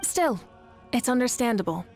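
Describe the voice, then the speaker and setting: A woman voicing a calm, reassuring leader in a video game, measured and gently amused. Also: a little high, soft background music. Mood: calm, thoughtful. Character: composed, reassuring, confident female leader.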